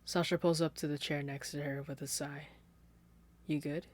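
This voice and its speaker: A fan fiction reader narrating a story in a steady, soft-spoken way into a desktop condenser mic. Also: medium pitch, brisk. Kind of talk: podfic narration.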